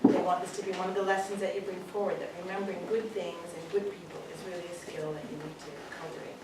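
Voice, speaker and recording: A woman's voice: lowish voice, flat delivery, fast talker. Canadian woman speaking in an echoey hall. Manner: warm, joking, with frequent ums. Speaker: parent giving a speech. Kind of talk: graduation speech. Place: school hall with pupils. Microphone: distant camera. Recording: echoey hall from far off, room noise.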